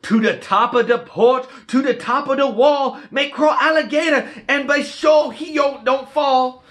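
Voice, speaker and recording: A man voicing an excitable, folksy Cajun storyteller, reciting a rhymed Christmas storybook in an exuberant singsong dialect. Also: extremely high pitch, unhurried, voice pushed up. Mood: rousing, shouting. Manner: exuberant, declamatory, singsong rhyming delivery.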